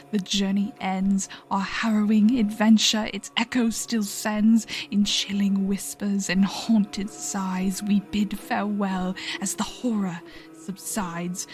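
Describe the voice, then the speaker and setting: A woman playing a gentle, solemn adventurer in a fantasy horror audio drama, earnest and dramatic. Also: mid-range voice, close to an everyday voice.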